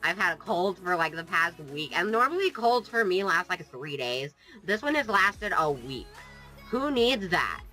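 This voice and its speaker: A very bright, sniffly voice from a vlogger fighting a cold, talking into a desk mic. The chat is playful and sarcastic and wanders from one aside to the next. Faint music plays underneath. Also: mid-range voice, sing-song, normal speed, poor audio. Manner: playful, jokey, sarcastic, drifts off topic. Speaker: gaming YouTuber. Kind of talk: casual rambling vlog chat.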